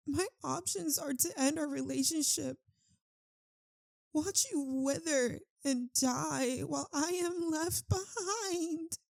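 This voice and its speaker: A woman playing an anguished, tender, guilt-ridden vampire lover in an ASMR roleplay, speaking in a halting, intimate, pleading way. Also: sits high, vocal fry, darkish tone, dry recording, full character voice. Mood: anguished, bitter.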